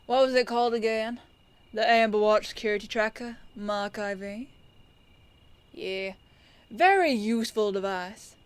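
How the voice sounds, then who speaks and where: A female detective, acted by a woman in an audio roleplay, speaking in a fairly high voice with no room echo. She is composed and measured, firm yet kindly, with a folksy, knowing way of talking. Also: smooth, lightly acted. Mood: smug, explaining.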